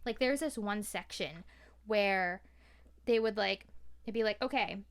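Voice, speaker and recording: A woman's voice: mid-range voice, unhurried. A woman in casual podcast conversation, speaking candidly and emphatically about things. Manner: candid, emphatic, swearing freely. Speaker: podcast guest.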